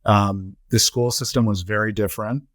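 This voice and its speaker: Low-voiced man telling his story slowly. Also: steady tone, a bit bright, no room sound, clean studio sound. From United States. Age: 40s to 50s. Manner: measured, many filler "uh"s and "um"s. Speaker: podcast guest, nonprofit CEO. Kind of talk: reflective interview answers.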